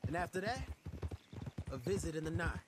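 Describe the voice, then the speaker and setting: Young Western gang member for a game, male actor: high voice, folksy, eager, clear background noise. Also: expressive, brisk, lightly acted. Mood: frank, serious. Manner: earnest, folksy, persuasive. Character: eager, sharp young male outlaw of the Old West. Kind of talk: video game cinematic acting. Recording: clearly noisy outdoor game ambience.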